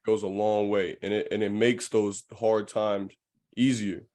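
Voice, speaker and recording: Male podcast host, slightly low voice, loose slangy chat, affirming and conversational. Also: little pitch movement, unhurried, darkish tone. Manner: laid-back slangy phrasing, affirming, frequent "you know".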